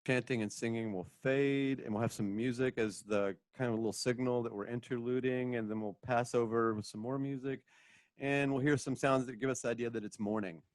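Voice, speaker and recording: A man's voice: medium pitch, fairly even intonation, brisk, no room sound. A man narrating a radio drama as its director, reading out stage directions and voicing sound effects.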